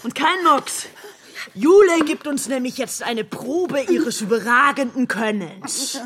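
A woman's voice: high voice, lively intonation, takes it slowly, fairly bright tone, full character voice. Furious desperate lady in a radio play, voice all over the place, menacing, bit of noise behind. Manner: menacing, sarcastic, commanding, unhinged. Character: enraged, desperate woman threatening others with a knife. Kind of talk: radio drama acting.

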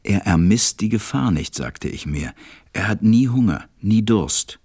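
A man playing a grave, weary stranded pilot who narrates a German radio play in a measured, husky, deep voice. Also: lively intonation, darkish tone, full character voice. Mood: grave, reflective.

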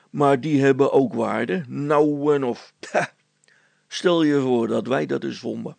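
A storybook village constable, acted by a man, blustering and scheming. The voice is heavily creaky and rough, recorded very dry, with hesitant, conspiratorial phrasing. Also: medium pitch, a little mellow, no echo, exaggerated voice. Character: scheming village constable, blustering, flustered before his superior.

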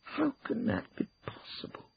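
A man's voice: mid-range voice, very animated, brisk, vocal fry, slightly rough, dry recording, highs cut off, voice pushed up, full character voice. A man voicing a firm, indignant woman defending herself in an audiobook, insistent and emphatic. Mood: indignant protest. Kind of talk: acted audiobook dialogue.

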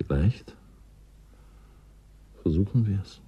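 An actor playing a reflective, philosophical man in a radio drama, speaking in a measured, emphatic way with a husky voice. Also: low voice, lively intonation, mellow, lo-fi sound, a little hiss or hum. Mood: musing. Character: reflective man theorizing on human warmth and ice.

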